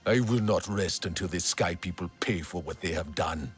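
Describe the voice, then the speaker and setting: An actor playing a grave, vengeful male alien warrior in a video game, speaking in a formal, solemn and deliberate way. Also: deep voice, faint music behind, natural voice. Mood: brooding, resolute. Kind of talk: video game character acting.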